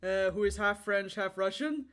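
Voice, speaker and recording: A man's voice: high-pitched, normal speed, fairly bright tone. A man from Sweden hosting a casual stream chat, joking, teasing and laughing often. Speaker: streamer host.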